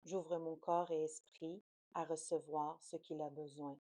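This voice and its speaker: A woman guiding a yoga nidra relaxation in a soothing, slow, unhurried manner. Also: low-pitched, little pitch movement, dark tone, dry recording. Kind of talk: guided relaxation meditation.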